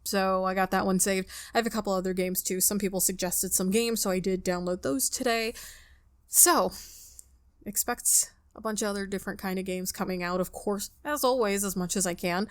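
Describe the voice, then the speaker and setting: A woman's voice: medium pitch, fairly even intonation, moderate pace. A woman hosting a gaming video, casually commenting and reading game text aloud in a chatty, playful way, close on the mic. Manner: chatty, self-talking, playful. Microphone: close microphone without pop filter. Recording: music behind the voice.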